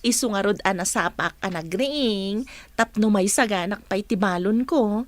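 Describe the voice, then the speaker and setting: A woman playing a spirited young student teacher in a radio drama, teasing and animated, with a Filipino accent. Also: mid-range voice, unhurried, no room sound, lightly acted. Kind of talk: Ilocano radio drama acting.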